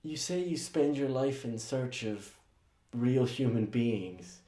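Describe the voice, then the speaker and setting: An actor playing an angry, sarcastic young painter's assistant in a theatrical monologue, swearing in rapid tirades. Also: male voice, mid-range voice, warm tone, roomy sound, natural voice. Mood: sarcastic fury.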